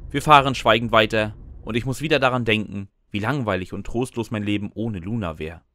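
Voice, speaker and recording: A man's voice: fairly low pitch, a little fast, bright, forceful tone. A man playing a sardonic, lonely narrator in a scripted first-person audio drama, with a dry manner. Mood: sad, wistful. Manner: dry, sarcastic. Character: frustrated, sardonic male narrator, lonely and sentimental underneath. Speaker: narrator and lead character. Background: music.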